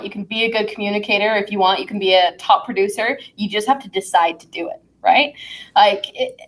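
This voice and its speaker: Affirming woman podcast host, higher voice. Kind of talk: podcast interview.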